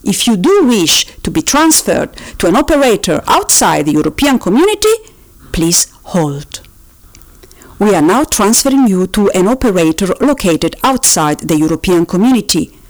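A woman's voice: medium pitch, sing-song, lightly acted. Woman playing a sing-song officious phone-menu voice. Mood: officious, polite. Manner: formal, polite, drawn-out sing-song emphasis on options. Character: bureaucratic automated phone-menu voice, politely officious and relentless. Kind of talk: recorded phone-menu announcement, scripted parody.

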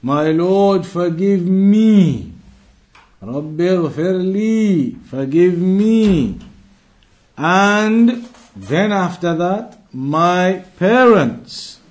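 A man giving an Islamic lesson as a teacher, reciting texts and explaining them phrase by phrase in a deliberate, repetitive way. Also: high voice, darkish tone. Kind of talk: religious lesson, reciting Arabic texts then translating phrase by phrase.

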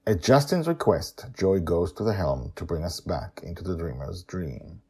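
A man hosting a storytelling podcast, narrating with animated, chatty asides. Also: sits low, moderate pace, husky.

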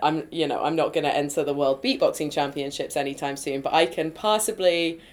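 A woman with a nasal, projecting voice, chatting excitably as a podcast guest, full of fillers and quick laughs. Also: low voice. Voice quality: loud, projecting voice. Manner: bubbly and excitable, laughs often, many fillers. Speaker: podcast guest, improv performer. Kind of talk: casual podcast interview.

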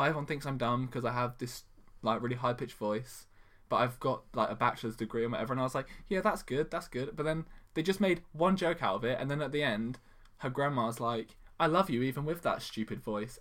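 A man's voice: mid-range voice, brisk, a bit bright. A man co-hosting a casual film podcast, rambling and emphatic as he complains. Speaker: podcast co-host. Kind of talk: casual film review chat.

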